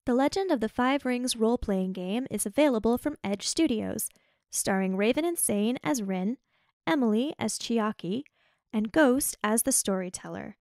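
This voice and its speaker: A woman's voice: on the high side, lively intonation, normal speed, darkish tone, no echo, clean studio sound. A woman announcer reading scripted closing credits.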